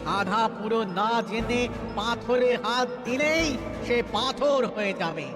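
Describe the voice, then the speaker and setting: A man's voice: very high voice, slow. A man voicing an ancient, solemn treasure-guarding spirit in a cartoon, speaking in a moralizing manner. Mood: stern, moralizing. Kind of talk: cartoon voice acting. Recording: long hall reverb, music underneath.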